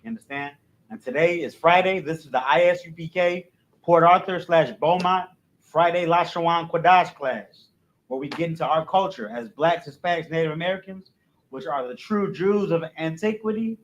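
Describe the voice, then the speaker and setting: A man's voice: moderate pace, highs cut off. A man leading a recorded language class in a casual, repetitive way, often adding "you know what I'm saying" in a dropped voice. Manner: recites letters loudly and rising in pitch. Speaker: class instructor.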